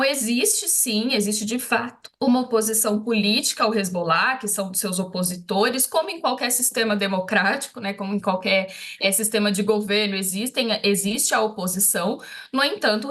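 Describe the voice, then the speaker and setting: A woman's voice: fairly high pitch, a bit bright. A woman with a Brazilian accent giving spontaneous analytical commentary as an invited expert, explaining things with frequent short check-in tags. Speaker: expert commentator interviewed on a news show.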